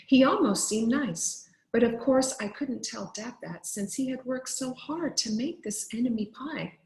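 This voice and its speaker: A woman reading a children's story aloud expressively, like a teacher, with deliberate pauses for effect. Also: medium pitch. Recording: roomy, echoing sound.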